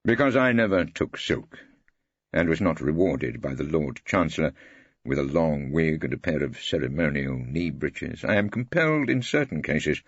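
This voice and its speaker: A man playing a witty, weary old English barrister who narrates in a wry, pompous, husky voice. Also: very deep voice, expressive, normal speed, no room sound, lo-fi sound, exaggerated voice. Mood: wry, aggrieved. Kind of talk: scripted first-person audiobook narration.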